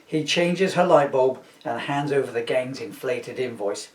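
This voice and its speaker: A middle-aged man reads a children's book in a loose, chatty way, rambling into jokey asides. His voice sits at an ordinary pitch. The recording is roomy and echoing, with the microphone some way off. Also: expressive, unhurried. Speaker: author reading from his own children's book. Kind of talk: informal, chatty video book reading. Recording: roomy echo, distant microphone.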